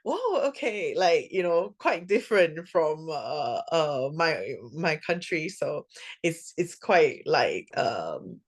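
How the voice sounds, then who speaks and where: A woman's voice: low voice, very animated, takes it slowly. A woman in her thirties from Singapore with a Singaporean English accent, talking casually and filling her speech with "like" and "you know" at an info session. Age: 30s. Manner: chatty, many fillers like "like" and "you know". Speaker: guest speaker.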